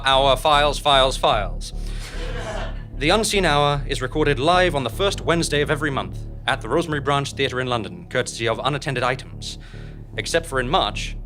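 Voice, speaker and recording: Bright-voiced man narrating over music. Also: a little high. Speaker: narrator and announcer. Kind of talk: scripted narration and credits.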